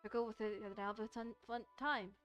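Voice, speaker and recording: A woman streamer reads a visual novel aloud and adds her own commentary. Her voice sits on the high side, and her delivery is halting, with phrases often repeated. Faint music runs underneath. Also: steady tone. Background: soft music.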